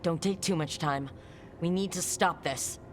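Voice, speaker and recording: An actress playing a determined, serious game heroine, speaking tersely and earnestly. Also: female voice, on the low side, unhurried, noisy background, natural voice. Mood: grave, urgent. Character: determined adult female adventurer leading a rescue, serious, steady. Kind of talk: video game voice acting.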